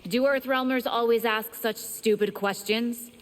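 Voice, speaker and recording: An actress playing a scornful, menacing invader from another realm in video game dialogue. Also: female voice, on the high side, fairly even intonation, measured pace, natural voice. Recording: hall reverb, music behind.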